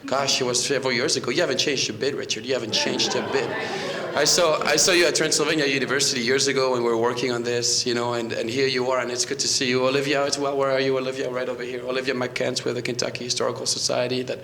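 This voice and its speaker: A man's voice: mid-range voice, level tone, fast, few pauses, sharp tone. A man in his thirties from France with a French accent, giving a history lecture at a podium microphone, conversational with frequent restarts. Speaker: guest lecturer. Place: library meeting room. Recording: echoey room.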